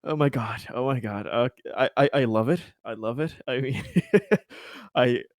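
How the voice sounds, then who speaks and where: A man's voice: mid-range voice, a little slow, dry recording, clean studio sound. A man playing a tabletop game, bantering casually and easily amused, often repeating his phrases.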